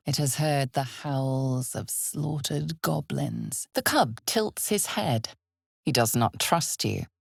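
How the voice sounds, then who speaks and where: A woman playing an ominous, all-knowing narrator of a dark fantasy video game, speaking in a measured, dramatic way with hushed intensity. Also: low voice, gravelly, no echo, studio quality, heavily acted. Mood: hushed, foreboding. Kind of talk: scripted second-person fantasy game narration.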